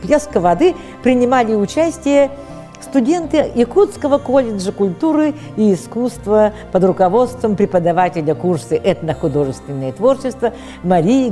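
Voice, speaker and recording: A woman giving a formal, deliberate spoken presentation. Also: mid-range voice, warm tone. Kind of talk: spoken presentation introducing a cartoon project. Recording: echoey hall, music behind.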